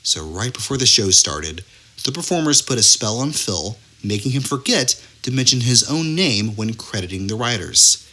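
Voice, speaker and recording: A man giving a mock-earnest scripted announcement in a deadpan manner as a comedy performer. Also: medium pitch, moderate pace.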